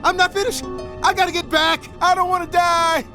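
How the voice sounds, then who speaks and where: A man playing an eager, excitable music teacher in a children's storybook, animated and urgent. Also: very high voice, a little fast, exaggerated voice. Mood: desperate.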